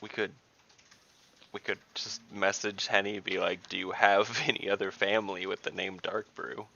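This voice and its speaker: A man's voice: sits low, level tone, moderate pace, creaky, sharp tone. A man chatting casually as a player in an online tabletop game. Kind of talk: casual chat. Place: online voice call. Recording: dull lo-fi online call, keyboard typing.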